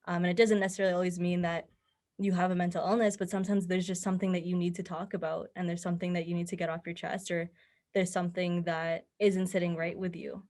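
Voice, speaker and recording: A young woman with a slightly low voice that moves little in pitch. She talks conversationally, like a guest answering interview questions, offering advice in an earnest and encouraging manner. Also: level tone, quick pace, darkish tone. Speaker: podcast guest, mental health advocate.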